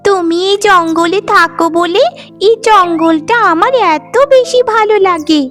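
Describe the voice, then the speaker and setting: A woman voicing a young talking fawn in a cartoon, gushing with sweet affection and flattery. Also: very high-pitched, measured pace, faint music behind. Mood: admiring, affectionate. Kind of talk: cartoon voice acting.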